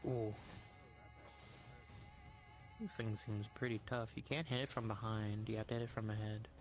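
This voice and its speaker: A man's voice: on the low side, flat delivery, normal speed. A man chatting casually and reacting as a player in an online role-play. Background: faint music.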